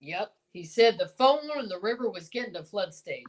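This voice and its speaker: A woman's voice: mid-range voice, lively intonation, normal speed, lightly acted. A woman playing a folksy older male small-town marshal, hemming and exclaiming in old-time radio drama style. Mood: urgent.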